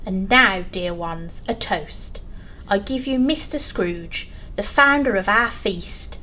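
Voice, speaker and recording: A woman's voice: mid-range voice, expressive, slow, sharp tone, slight background noise, rough recording. A woman playing a kindly, devoted father in an audio play, tender and conciliatory. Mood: warm toasting.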